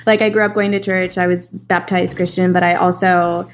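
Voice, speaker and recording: A woman's voice: fairly low pitch, little pitch movement, moderate pace, a little hiss or hum. A young woman from California chatting as an interview guest, talking loosely with many fillers and frequent laughs. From California, USA. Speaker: casting and voice director, guest. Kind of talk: casual interview chat.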